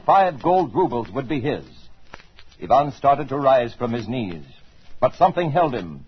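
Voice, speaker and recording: An actor playing a grave, suspenseful narrator of eerie adventure tales in old-time radio style, on a muffled vintage recording. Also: male voice, on the high side, slightly rough. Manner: theatrical, suspenseful.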